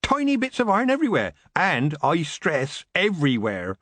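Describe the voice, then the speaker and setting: A man's voice: a little high, sing-song, moderate pace, fairly bright tone, slightly rough, no echo, voice pushed up, heavily acted. A man voicing a chatty, knowing old woman witch who speaks in an approving, emphatic way.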